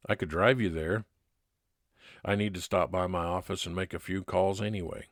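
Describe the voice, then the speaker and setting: A man playing a kindly, laconic 1950s private detective, narrating his case in old-time radio drama style with measured, plain-spoken delivery. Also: deep voice, fairly even intonation, no room sound, clean studio sound. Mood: matter-of-fact. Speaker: narrator and lead actor.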